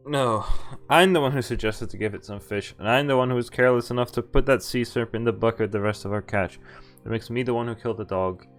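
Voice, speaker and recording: A man's voice: mid-range voice, fast talker, soft background music. A man reading visual novel text aloud and adding casual, sweary commentary as he streams. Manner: swears freely.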